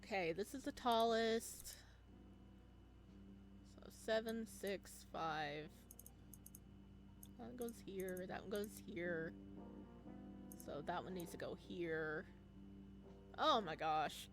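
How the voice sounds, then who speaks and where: Expressive woman playing a game over music. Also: fairly low pitch, slow pace, long pauses. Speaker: game streamer. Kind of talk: playthrough commentary and reading game narration aloud.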